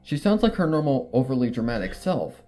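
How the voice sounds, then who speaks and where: Brief: story narrator, mid-pitched and highly varied in delivery, dramatic horror reading over music. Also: big pitch swings, brisk, dark tone. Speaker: fan fiction narrator.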